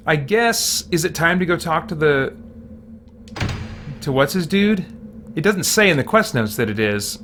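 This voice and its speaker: A man giving casual, halting gameplay commentary as a let's play host, thinking aloud as he goes. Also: mid-range voice, pushed and bright, a little hiss or hum.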